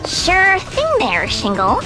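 Snotty goth villain girl from a cartoon game, high n drawly, loud music behind. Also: huge pitch swings, full character voice, low-quality recording. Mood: gloating. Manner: haughty, mocking, drawn-out. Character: sarcastic, scheming goth schoolgirl villain. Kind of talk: cartoon video game acting.

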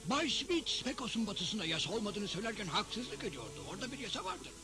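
A man voicing a talkative, indignant cartoon sidekick in a western dub, telling things in an expressive, explanatory way. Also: high-pitched, fairly quick, over music. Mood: indignant, wary. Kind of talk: dubbed cartoon acting.

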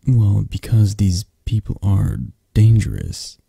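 A man acts out a controlling yet protective mafia boss boyfriend for an ASMR romance roleplay. His voice is low and husky, and he takes his time over each phrase. He coaxes and commands softly, close to the listener. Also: very animated, no room sound, full character voice. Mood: possessive, firm. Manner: unhurried, commanding, coaxing, close and soft.

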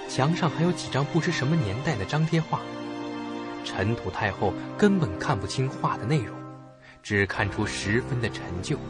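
Animated male suspense storyteller. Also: mid-range voice. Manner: expressive, theatrical storytelling. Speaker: first-person narrator of a horror story. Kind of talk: dramatic suspense audio-drama narration.

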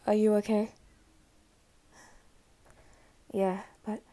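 Woman voicing a soft, mellow, awkward teenage anime boy. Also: medium pitch, flat delivery, lightly acted. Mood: concerned. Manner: halting, stammering, dropping into whispers. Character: awkward, flustered, guarded teenage anime boy, secretly caring. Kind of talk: ASMR roleplay, soft scripted acting.

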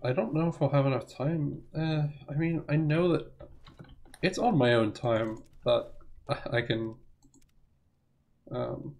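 A young man giving rambling, self-correcting let's play commentary, his voice hoarse as if he is losing it. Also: medium pitch, takes it slowly, long pauses, darkish tone. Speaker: gaming channel host.

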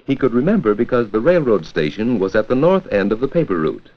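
An American man narrating a 1940s educational film from a script, with a clear, measured storytelling delivery. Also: mid-range voice, big pitch swings. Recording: dull, lo-fi 1940s 16mm film soundtrack.

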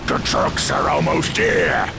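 A man voicing a rowdy, excitable, brutish ork leader in a video game, shouting in rasping with crude grammar. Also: on the high side, slow, loud music behind the voice, exaggerated voice. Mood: angry. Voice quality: very gravelly, rasping. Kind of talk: video game character acting.

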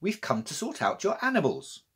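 Man voicing a brisk, lively storybook granny. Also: on the high side, vocal fry, breathy edge, voice pushed up, heavily acted. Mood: confident. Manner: commanding, decisive. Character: brisk, take-charge French granny, kindly and bossy.

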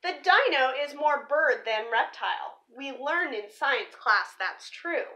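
A young woman speaks to children in a high, animated voice. Her tone is bright and forward, with expressive emphasis on key words, in the manner of a teacher reading a picture book aloud. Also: measured pace. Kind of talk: storybook read-aloud and presentation for children. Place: small living room or room at home, indoors.

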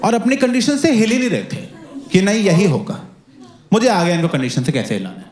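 A young man performing a stand-up comedy set into a handheld mic, telling stories in a playful, animated way. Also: high-pitched, brisk. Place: comedy stage with live audience. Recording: roomy club sound with loud crowd laughter.

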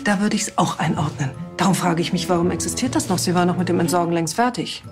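A woman's voice: lowish voice, fast talker, music in the background, close to an everyday voice. An actress playing a stern, sarcastic female police superior with a cutting manner in a TV crime drama.

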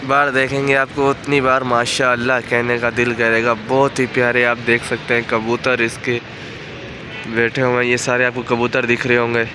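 A man's voice: mid-range voice, background noise. A young man filming a casual travel vlog on a phone held at arm's length, walking around a mosque and repeating words of awe. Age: young adult. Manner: warm and awed, repeating blessings. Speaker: travel vlogger. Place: outdoors at a large mosque, then inside its hall. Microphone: handheld phone camera at arm's length.

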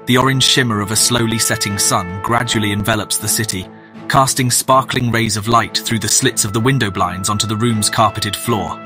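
A man's voice: fairly low pitch, moderate pace. A man narrating a scripted science fiction audio drama in descriptive storytelling style. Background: clear music.

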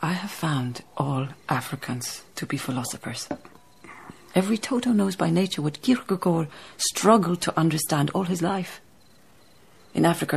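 An actress in a radio drama playing a dignified, thoughtful baroness, telling her tale in measured literary phrases. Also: low-pitched, creaky, darkish tone, husky, full character voice. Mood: reflective. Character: reflective, dignified baroness, storytelling colonial farm owner. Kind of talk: radio drama acting and narration.

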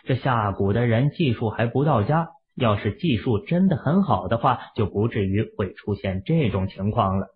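A man voicing a calm, commanding young male healer hero in an audio drama, brisk and curt in manner. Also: lowish voice, narrow, tinny audio, natural voice. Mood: cold scorn.

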